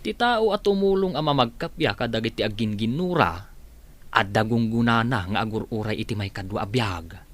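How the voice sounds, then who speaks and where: A man's voice: mid-range voice, expressive, normal speed, lightly acted. A man playing a deferential, kindly and scheming household servant in an Ilocano radio comedy-drama. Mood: earnest, persuasive.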